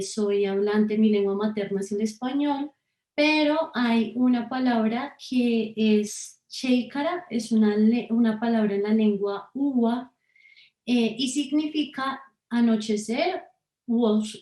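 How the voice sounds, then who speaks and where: A woman's voice: fairly high pitch, mellow, echoey room. A woman researcher leading an online workshop, speaking hesitantly with many fillers over a video call. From Colombia.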